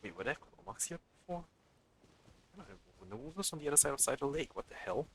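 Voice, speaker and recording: A man's voice: medium pitch, big pitch swings, quick pace, fairly bright tone, slight background noise. A man giving casual live game commentary, muttering and thinking aloud as he plays. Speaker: game streamer.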